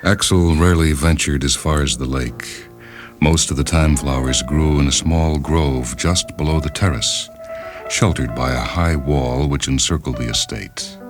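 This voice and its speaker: A man reading a literary short story as a radio host, in a measured, deliberate and formal manner, on a 1970s radio recording. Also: very deep voice. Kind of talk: scripted literary narration. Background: music bed behind the reading.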